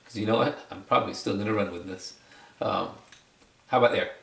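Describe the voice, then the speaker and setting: A man's voice: lowish voice, a little fast, creaky, fairly bright tone. A man giving a casual, unscripted product demonstration in a conversational, explanatory way, repeating phrases for emphasis. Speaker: product reviewer and video presenter. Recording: roomy echo, distant microphone.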